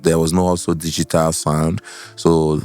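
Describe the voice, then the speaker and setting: Nigerian male, very low bass, relaxed podcast storytelling with some Pidgin, music underneath. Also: unhurried. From Port Harcourt, Nigeria. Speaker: guest musician, singer. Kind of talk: casual storytelling in interview. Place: podcast studio.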